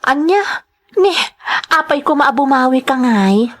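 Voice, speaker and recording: An actress playing an outspoken, lovestruck woman in an audio soap drama, with emphatic scolding and drawn-out phrases. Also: sits high, animated. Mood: coy, insistent. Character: outspoken, lovestruck woman pleading with her man.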